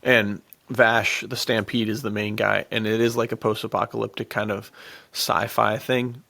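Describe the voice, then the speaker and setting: A man's voice: on the low side, little pitch movement, normal speed, vocal fry, bright, forceful tone. A man co-hosting a podcast in casual, enthusiastic chat, with slangy talk peppered with "dude". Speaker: podcast co-host.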